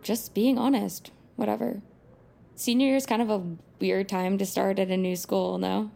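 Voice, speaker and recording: Woman acting mean blunt high school girl, lots of creaky fry, scornful, dramatic, audio drama. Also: steady tone, moderate pace, mellow, lightly acted. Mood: hostile, probing.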